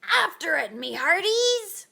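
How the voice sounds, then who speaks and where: A woman's voice: very high voice, big pitch swings, takes it slowly, vocal fry, bright, forceful tone, pitched above the performer's own voice, full character voice. A woman voicing a hearty, bossy male pirate captain, bellowing orders in storybook pirate talk. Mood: hearty, commanding.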